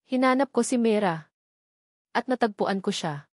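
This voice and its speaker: Woman as adult son in family melodrama: forceful, wounded, insistent, close dry sound. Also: fairly high pitch, animated, normal speed, no echo. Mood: firm. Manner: emphatic, accusing, pleading. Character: angry, determined adult son confronting his mother.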